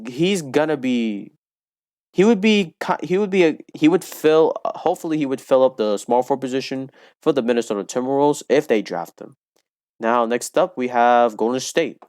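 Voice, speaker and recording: A young man with a loud voice giving casual game commentary, rambling and restarting his sentences often. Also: mid-range voice, clear, resonant tone, no room sound, studio quality. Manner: rambling with frequent restarts and fillers. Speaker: gaming commentator.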